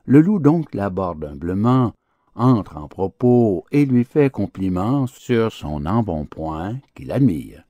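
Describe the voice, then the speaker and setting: Deep, expressive male fable narrator. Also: slow, no room sound, muffled recording. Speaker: audiobook narrator. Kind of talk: theatrical scripted fable narration.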